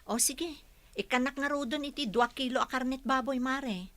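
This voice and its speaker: A woman acting a chatty, wheedling neighborhood housewife in an audio drama, expressive and speaking with a Filipino Ilocano accent. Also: high voice, moderate pace, no echo.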